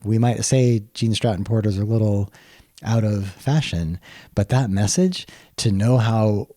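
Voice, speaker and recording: A man's voice: on the low side, unhurried, mellow, no echo, clean studio sound. A man co-hosting a podcast, talking in a reflective, earnest, conversational way. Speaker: podcast co-host. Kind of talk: conversational book discussion.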